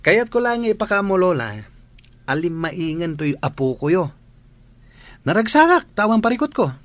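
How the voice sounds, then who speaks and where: A man's voice: high-pitched, very animated, normal speed, no echo. A man playing a cocky, lazy young grandson, boastful and breezy, in a comedy radio drama.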